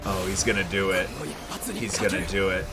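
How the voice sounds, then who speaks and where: A man's voice: sits low, level tone, moderate pace. A man giving a casual, exclamatory live reaction to an anime episode, swearing freely. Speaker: reaction show host. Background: music from the episode being watched.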